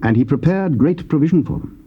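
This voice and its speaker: A man narrating scripture in a measured, stately reading. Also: on the high side, animated, highs cut off. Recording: faint tape hiss.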